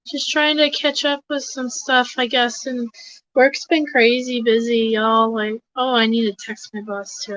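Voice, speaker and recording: A hoarse, congested woman hosting a livestream, rambling casually with lots of fillers and restarts. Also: fairly high pitch, fairly bright tone, no room sound, highs cut off. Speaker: host of an advocacy livestream. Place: home desk.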